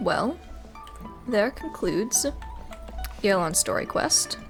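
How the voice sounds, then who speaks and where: A woman's voice: mid-range voice, sing-song, takes it slowly. A woman giving casual commentary as she plays through a video game for her channel. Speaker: gaming video creator. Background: game music.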